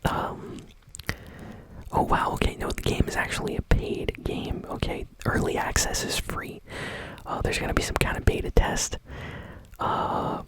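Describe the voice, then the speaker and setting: A woman hosting ASMR gaming news in a soft whisper, speaking casually and hesitantly, as if unscripted.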